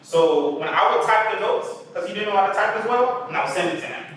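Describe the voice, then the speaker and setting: A young man tells a quick story in a relaxed, chatty way. His voice is bright and a little high for a man. He is recorded from a distance in a very echoey room. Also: slight background noise. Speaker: panel guest. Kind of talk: casual storytelling.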